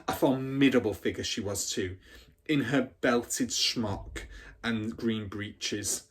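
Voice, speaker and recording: A man's voice: medium pitch, slow pace, breathy edge. A man reading a children's story aloud as a teacher would, with dramatic, emphatic expression.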